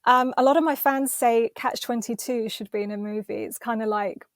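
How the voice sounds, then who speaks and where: An English woman with a fairly high voice talks with eager enthusiasm, often restarting her sentences. Her voice sounds close and dry, with little room around it. She is a singer chatting as a guest. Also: fairly even intonation, normal speed, dry recording. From London, England. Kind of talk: casual interview chat.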